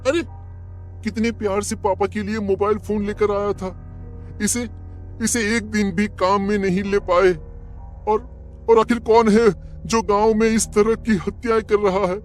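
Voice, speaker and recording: A stammering, anxious young villager. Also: male voice, high voice, level tone, measured pace, darkish tone, soft background music. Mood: worried. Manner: urgent, worried, stammering. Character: anxious young village man seeking answers. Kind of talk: animated horror story dub.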